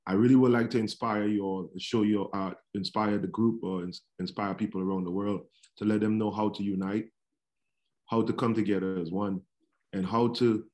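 A man from Trinidad and Tobago, a barber, answering interview questions in a halting way with many fillers. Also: lowish voice, a little mellow. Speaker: barber, podcast guest.

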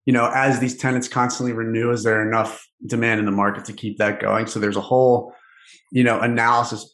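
A man's voice: medium pitch, little pitch movement, brisk, fairly bright tone, echoey room. A man, a podcast guest, explaining investing loosely with many fillers. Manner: loose, filler-heavy, "you know". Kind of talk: casual explanatory conversation.

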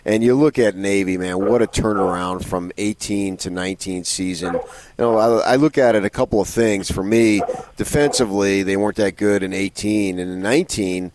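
A man's voice: on the low side, normal speed, noisy background. A man co-hosting a sports radio show, talking casually and conversationally as he builds up to questions.

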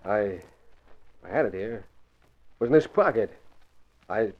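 A man's voice: fairly low pitch, expressive, slow. An actor playing a crook, a henchman reporting matter-of-factly to his boss, in 1940s radio style. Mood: flustered. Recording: thin, phone-like 1940s radio recording.

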